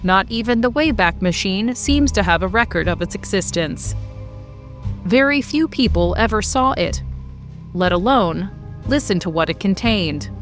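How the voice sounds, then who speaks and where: A woman's voice: mid-range voice, a bit bright. A woman hosting and narrating a story podcast in a scripted delivery.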